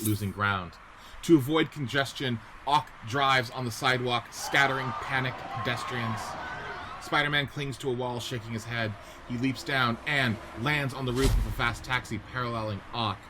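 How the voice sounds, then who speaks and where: Male narrator for radio play, mid-pitched, reads scene directions; noisy podcast recording. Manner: descriptive, present-tense scene setting. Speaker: narrator reading stage directions.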